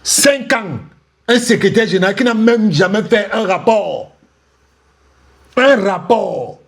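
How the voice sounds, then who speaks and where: A man's voice: high voice, expressive, slow, vocal fry. A man giving sarcastic, taunting commentary on an interview, repeating phrases and laughing with scorn. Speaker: commentator reacting to an interview clip. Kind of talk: mocking reaction commentary.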